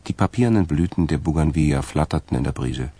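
A man with a gravelly, creaky voice, narrating a radio crime drama from a script. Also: a bass voice, expressive, quick pace, warm tone, no room sound, heavily acted.

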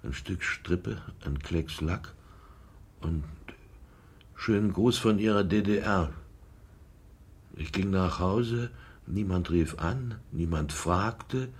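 An actor playing a bitter, insecure middle-aged former general, a wounded son, in a naturalistic radio drama. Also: male voice, low-pitched, slow pace, long pauses, slightly rough, full character voice. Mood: hurt, bitter.

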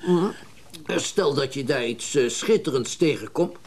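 A genteel, reflective wealthy collector. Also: male voice, mid-range voice, darkish tone. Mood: eager, persuasive. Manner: genteel, reflective, hesitant pauses, old-fashioned turns of phrase. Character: wealthy, well-bred male collector of mechanical music. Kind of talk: naturalistic radio drama dialogue.

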